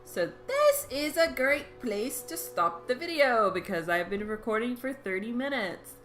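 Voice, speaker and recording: A woman's voice: a little high, very animated, a little slow, a bit bright. A woman in her thirties chatting casually as she wraps up a let's-play video, rambling with frequent fillers. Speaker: gaming video creator. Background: faint game music.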